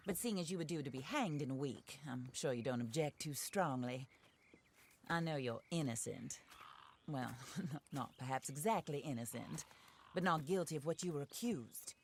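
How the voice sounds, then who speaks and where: An actress playing a wealthy, genteel widow set on revenge, speaking in a refined, formal, well-spoken manner. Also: female voice, sits low, a little hiss or hum, close to an everyday voice. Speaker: patron hiring outlaws. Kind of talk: video game cutscene acting.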